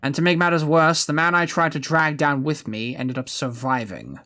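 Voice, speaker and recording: A man voicing an aged gentleman villain from a game story, gleefully wicked and sentimental, in a pompous theatrical manner. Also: on the high side, expressive, moderate pace, soft background music. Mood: musing, theatrical. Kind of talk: dramatic reading of game story dialogue.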